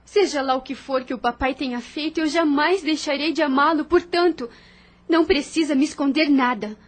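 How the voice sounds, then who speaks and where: A woman's voice: sits high, normal speed, lightly acted. A woman voicing a firm, worried young sister who questions her brother insistently in an audio drama.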